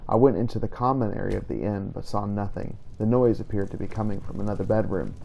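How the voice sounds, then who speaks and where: Low, gravelly, mellow male narrator. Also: fast, a little hiss or hum. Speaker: gameplay narrator. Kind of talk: scripted in-character journal narration.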